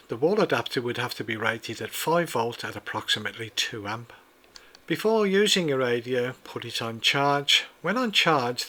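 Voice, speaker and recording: A man with a bright, clear tone reads a product review from a script. He talks in a measured, precise way, walking through each feature and button step by step at an even middle pitch.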